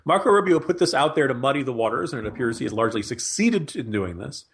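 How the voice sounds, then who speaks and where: A man's voice: mid-range voice, sing-song, quick pace, breathy edge. An American man co-hosting political talk, arguing point by point in an emphatic, wry, conversational style. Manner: emphatic, argumentative, wry, mimicking imagined arguments. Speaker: podcast co-host, political commentator.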